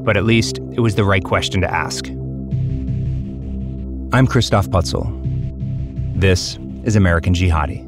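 A man narrates a documentary podcast in a calm, measured scripted style. His voice sits somewhat low, with soft music playing behind.

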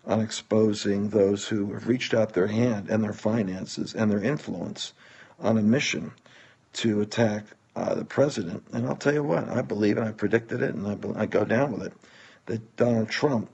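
Low, gravelly, husky preaching man. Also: a little mellow, dull audio. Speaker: preacher or religious commentator. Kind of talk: religious political talk.

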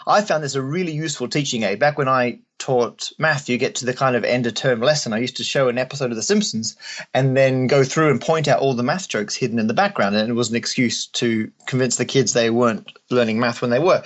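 An Australian man speaks conversationally, like a guest answering interview questions. His voice sits at an average pitch for a man. He tells things in a wry, jokey way. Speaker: guest, stand-up comedian and mathematician.

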